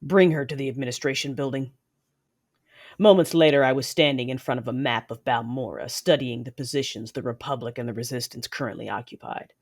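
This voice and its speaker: A woman's voice: very deep voice, brisk, a little mellow. A woman playing a cold, vengeful female Sith lord in an audio drama, controlled and menacing as she narrates her deeds. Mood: cold menace. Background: music.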